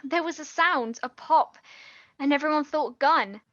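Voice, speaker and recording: An actress playing an earnest, guilt-ridden young woman, halting and pleading, in a naturalistic stage drama. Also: sits high, a bit bright, dry recording, highs cut off. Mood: earnest, defensive.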